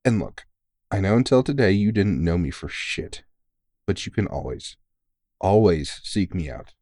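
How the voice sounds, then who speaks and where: A man's voice: deep voice, big pitch swings, moderate pace, dark tone, no echo, clean studio sound. A man playing a tired, kindly veteran vampire hunter who mentors a rookie in an informal, teasing, reassuring way, in an ASMR comfort roleplay. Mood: fond, reassuring. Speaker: voice actor in audio roleplay.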